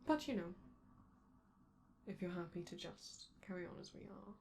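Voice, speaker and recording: A woman voicing a flamboyant, affectionate robot entertainer in an intimate ASMR roleplay, softly and hesitantly, slipping toward whispers with theatrical endearments. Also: on the low side, lively intonation, moderate pace, gravelly, a little hiss or hum. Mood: tender, earnest.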